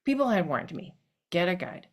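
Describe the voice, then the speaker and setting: Woman, solo monologue performer, low-pitched, reflective and direct. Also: big pitch swings, normal speed. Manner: reflective, addressing her late grandfather directly.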